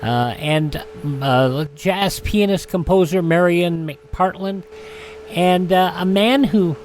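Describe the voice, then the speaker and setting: Male radio presenter, slightly high pitch, history rundown with wry asides, faint background noise. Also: takes it slowly, darkish tone. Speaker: radio host.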